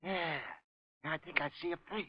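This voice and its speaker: An actor playing a slangy, wisecracking American gangster henchman with a gravelly voice in a 1930s radio drama. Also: fairly high pitch, fairly even intonation, moderate pace. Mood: wary. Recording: muffled vintage 1930s radio recording.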